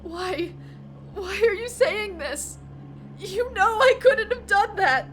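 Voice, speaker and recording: A woman's voice: very high voice, slow pace, voice pushed up. A woman voicing a guilt-ridden heroic pony mare in a story reading, faltering and pleading as she protests. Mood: desperate. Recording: music bed behind the voice.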